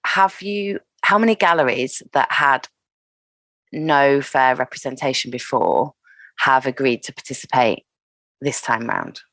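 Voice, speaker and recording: A woman hosting a podcast interview, sounding stuffy from a cold, gentle and hesitant with fillers. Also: rumbling low, lively intonation, unhurried, no room sound. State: full of cold. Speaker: podcast host.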